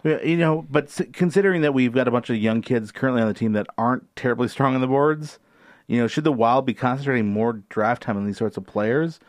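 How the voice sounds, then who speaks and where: Guy on a sports podcast, middle pitch, dry sound, thinks out loud with lots of uhs. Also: quick pace, no echo. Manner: thoughtful, many uh fillers, poses questions. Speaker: podcast co-host. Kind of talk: casual sports chat.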